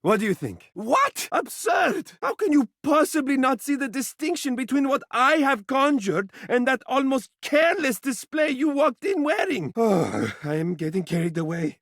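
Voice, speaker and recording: Vain, swooping, theatrical living mirror. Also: male voice, very high-pitched, sing-song, normal speed, few pauses, fairly bright tone, no room sound, clean studio sound, heavily acted. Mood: smug, doting, then indignant, wounded. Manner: flowery, pompous, melodramatic, coaxing. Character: vain, fussy, dramatic living mirror, doting male lover. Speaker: video game voice actor. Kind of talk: theatrical character dialogue for a video game.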